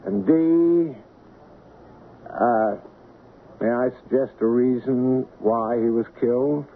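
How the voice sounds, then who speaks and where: An actor playing a guarded, genteel shipping boss in an old radio mystery, formal, deliberate and halting. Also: male voice, mid-range voice. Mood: smooth, insinuating. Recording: narrow, noisy old radio broadcast.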